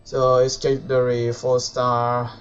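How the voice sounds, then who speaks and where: A man's voice: medium pitch, completely flat delivery, a little slow, clean tone, muffled recording. A man doing casual live game commentary, thinking aloud and muttering to himself as he plays. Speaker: video game live streamer. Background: game music.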